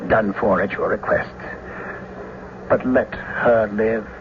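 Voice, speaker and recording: An actor playing a scheming villain who feigns loyal calm, measured and insinuating, in classical radio drama. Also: male voice, on the low side. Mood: feigned loyalty. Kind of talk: classical Shakespearean radio acting. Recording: muffled, noisy old radio broadcast.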